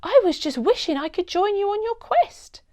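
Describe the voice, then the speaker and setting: A cheeky young storybook girl as a woman reader puts her on, pitched high and full of exclamation. She swoops about with wide-eyed curiosity, in a close, dry sound. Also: expressive, normal speed, a little mellow, no room sound. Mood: astonished, stammering. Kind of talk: storybook read-aloud character voice.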